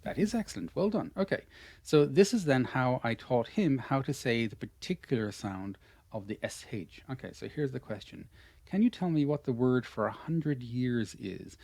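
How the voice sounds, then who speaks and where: An Irish man in an online lesson, coaching a student through pronunciation. His voice sits at a middling pitch, and he stays patient and encouraging, handing out praise again and again. The sound is dry and close, with no room around it. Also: lively intonation, normal speed, creaky, darkish tone, no room sound. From Ireland. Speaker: English pronunciation teacher.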